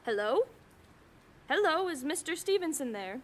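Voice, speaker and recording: A woman's voice: sits high, sing-song, normal speed, heavy background noise. A woman playing a nervous, imperious invalid wife in a suspense radio play, melodramatic and insistent. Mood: fretful, impatient. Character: nervous, imperious bedridden wife, alone and increasingly alarmed.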